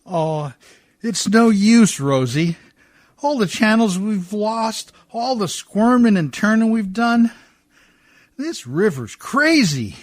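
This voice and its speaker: An actor playing a rough, warm-hearted, weary riverboat skipper with folksy, ungrammatical speech in a radio play. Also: male voice, high voice, expressive, slow, dry recording, studio quality. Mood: weary, frustrated.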